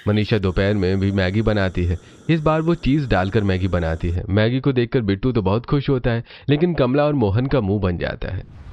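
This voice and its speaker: A man's voice: lowish voice, expressive, moderate pace, a little mellow, no room sound, muffled recording. A man reading scripted storybook narration for a cartoon.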